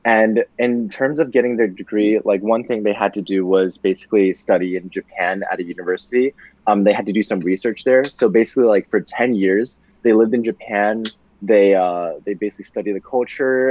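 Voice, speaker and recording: A young man, a podcast guest, talking casually about his childhood, relaxed and full of fillers, laughing easily. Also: lowish voice, dry recording, dull audio. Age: young adult. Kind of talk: casual conversational storytelling.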